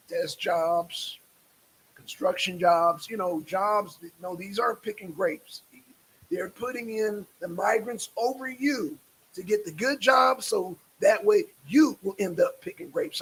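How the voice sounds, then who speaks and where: A man's voice: high voice, fairly bright tone, husky, poor audio. A man hosting a casual live stream, speaking in a repetitive, emphatic and exasperated way.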